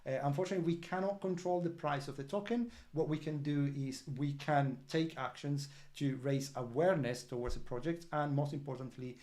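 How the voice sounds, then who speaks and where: A man who is not a native English speaker hosts a crypto project livestream update, answering viewer comments in an earnest, halting way with many fillers and restarts. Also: on the high side, steady tone, a little mellow. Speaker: crypto project team member hosting an update.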